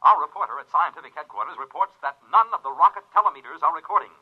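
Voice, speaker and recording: A man's voice: a little high, animated, racing, husky. An actor playing an earnest American television newsman giving live commentary in a 1950s radio drama, heard through a broadcast filter. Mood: grave. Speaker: broadcast reporter. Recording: tinny band-limited broadcast sound.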